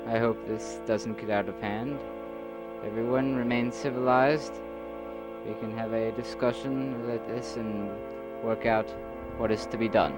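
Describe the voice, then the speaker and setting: A man voicing a young male leader giving an earnest speech in an amateur audio drama, pausing with uhs. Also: mid-range voice, fairly even intonation, loud music behind the voice, lightly acted, poor audio. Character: the journeyman, young male leader back from a journey.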